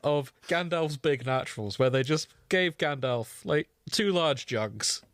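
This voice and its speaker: A man's voice: on the high side, unhurried, fairly bright tone, no room sound, clean studio sound. A man co-hosting a podcast in casual comedic chat, giggly and riffing on absurd ideas. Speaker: podcast co-host.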